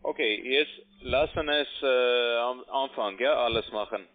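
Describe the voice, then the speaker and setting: A man's voice: mid-range voice, a little slow. A man posing as tech support, a native English speaker speaking broken German with faulty word order, pushing instructions. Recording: tinny phone line.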